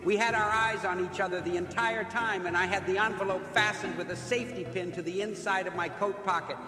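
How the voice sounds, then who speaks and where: A man's voice: high voice, fairly even intonation, fairly quick, close to an everyday voice. A man voicing an indignant, worried male opera house manager in an audio drama. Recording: echoey hall reverb with music.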